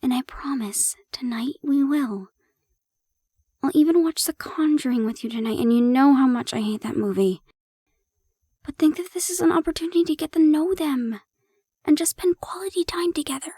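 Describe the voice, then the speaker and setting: A woman playing a harried but affectionate young girlfriend in an audio roleplay, expressive, teasing and coaxing. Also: sits high, moderate pace, no echo, studio quality, lightly acted. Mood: soft, pleading.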